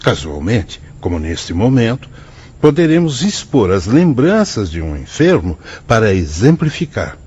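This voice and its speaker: A very husky male voice with a Brazilian accent on slightly dull audio. a wise spiritual mentor in a radio drama, teaching solemnly and patiently with animated intonation. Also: lowish voice, exaggerated voice. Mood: solemn, reassuring. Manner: solemn, didactic, measured. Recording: dull, muffled studio audio.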